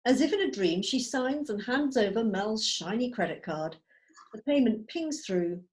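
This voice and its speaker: Lively, slightly high-voiced woman narrator. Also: normal speed. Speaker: narrator reading stage directions. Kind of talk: scripted narration at a table read. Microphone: video call.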